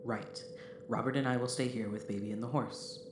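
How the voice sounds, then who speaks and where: A cautious, earnest spaceman, played by a man, heard in an echoey recording with clear background noise. He talks in measured, formal phrases with a middling pitch, as in a sci-fi audio drama. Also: steady tone, close to an everyday voice. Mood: reassuring. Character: earnest, cautious, mild-mannered male spaceship crewman. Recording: noisy, echoey room.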